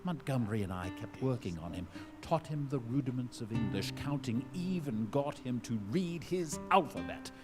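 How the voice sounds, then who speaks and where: An actor playing an obsessed, grandiose scientist who creates men from animals, theatrical and brooding, in a live audio drama. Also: male voice, mid-range voice, lively intonation, moderate pace, hardly any pauses, lightly acted. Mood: brooding, intense. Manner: theatrical, brooding, swelling into fervent declamation. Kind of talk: dramatic monologue in a live radio-style play. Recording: live stage recording with music underneath.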